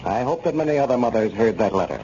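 A man's voice: medium pitch, expressive, fast talker, dark tone. An American man delivering scripted announcements and a sponsor message as a 1930s radio announcer. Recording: muffled, noisy 1930s radio recording.

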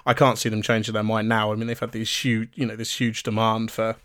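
Man, slightly hoarse, dry mic. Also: lowish voice, fairly quick, no room sound. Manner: relaxed and chatty, with frequent ums and restarts. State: losing his voice a little. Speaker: podcast co-host. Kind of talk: casual podcast chat.

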